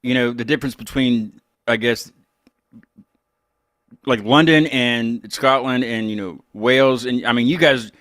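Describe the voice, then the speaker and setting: An American man hosting an interview show, chatting casually in a rambling, halting way. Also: medium pitch, steady tone, a bit bright, no echo, clean studio sound. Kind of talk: casual chat.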